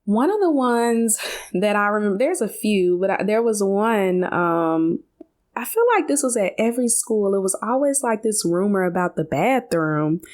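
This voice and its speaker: A woman's voice: medium pitch, lively intonation, moderate pace, darkish tone. A woman co-hosting a podcast, telling stories in a casual, conversational way with colloquial asides. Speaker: podcast co-host.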